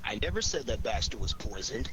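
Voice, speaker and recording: A man's voice: lowish voice, big pitch swings, a little fast, fairly bright tone. A man playing a cool, shrewd amateur sleuth in a radio drama, wry, probing and self-assured. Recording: clearly noisy recording.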